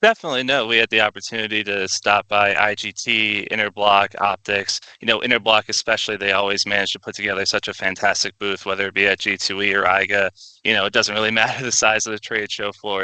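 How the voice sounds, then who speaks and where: Very bright, crisp-voiced man co-hosting. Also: lowish voice, dry recording, poor audio. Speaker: co-host. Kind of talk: conversational show presenting.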